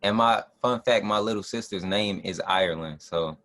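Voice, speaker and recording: Deep-voiced young man from Chicago. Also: normal speed, a bit bright. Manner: easygoing, enthusiastic slang. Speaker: workshop participant. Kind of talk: casual introduction. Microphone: video call from a home room.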